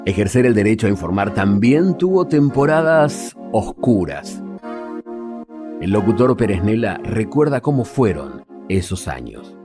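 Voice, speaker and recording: A man's voice: lowish voice, very animated, measured pace. A man with an Argentine accent reading scripted narration for a radio documentary. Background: guitar music.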